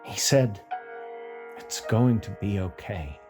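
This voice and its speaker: Low-voiced man reading fiction over music. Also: lively intonation, takes it slowly. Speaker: fiction reader. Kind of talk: scripted literary story narration. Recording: music bed behind voice.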